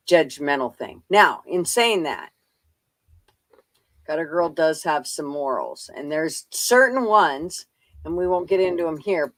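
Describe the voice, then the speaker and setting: A woman's voice: sits low, no echo. A middle-aged woman in casual live stream chat, rambling and swearing freely in a blunt, folksy way.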